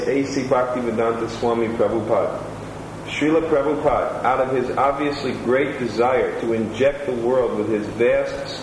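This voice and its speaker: A man reading reviews aloud to an elder in casual conversation, adding short asides between passages. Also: medium pitch. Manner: reads steadily, adds brief asides. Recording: echoey room with loud noise.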